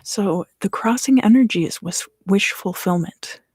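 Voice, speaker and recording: A woman giving an ASMR tarot reading in a hushed, measured delivery, drifting in and out of a whisper. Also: medium pitch, husky, dry recording, studio quality. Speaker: tarot reader and ASMR host.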